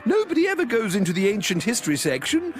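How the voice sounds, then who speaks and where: Man playing a gushing fluttery librarian lady, high and sing-song, music behind. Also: huge pitch swings, quick pace. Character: sweet but sinister fluttery woman librarian.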